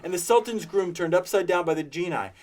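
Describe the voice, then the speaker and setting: A man's voice: mid-range voice, moderate pace, natural voice. A man doing old Scrooge, tearfully giddy. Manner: excited, cries out between laughing and crying.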